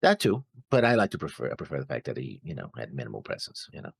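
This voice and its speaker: A man co-hosting a reaction show, bantering casually in a halting, rambling way and often trailing off. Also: a bass voice, wildly swooping pitch, creaky, husky, no room sound, clean studio sound. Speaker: co-host of an anime reaction show.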